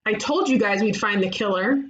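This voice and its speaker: A woman's voice: mid-range voice, brisk, fairly bright tone, natural voice. Muffled, feisty woman playing young sleuth. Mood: smug, confident. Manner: brash, commanding. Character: feisty, bossy young female detective in cartoon mystery. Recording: dull, lo-fi mic with faint noise.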